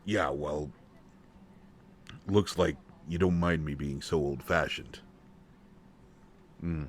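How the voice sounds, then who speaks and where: Audio drama role: male actor as plain-spoken, nervous, protective country man, deep register, halting folksy delivery, slight noisy ambience. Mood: folksy, determined. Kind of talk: intimate roleplay audio drama acting.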